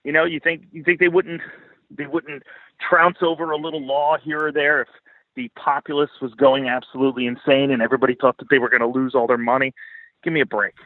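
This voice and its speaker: A man's voice: medium pitch, flat delivery, a little fast, dry recording. A man giving heated, opinionated interview commentary as a podcast guest on financial markets, blunt, emphatic and freely swearing. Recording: band-limited phone-like line, dry.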